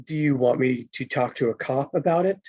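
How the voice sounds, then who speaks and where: A man's voice: medium pitch, brisk, dark tone, no echo, muffled recording, close to an everyday voice. A man playing a friendly, eager, awkward police officer in a comedy table read, casual and slightly stumbling.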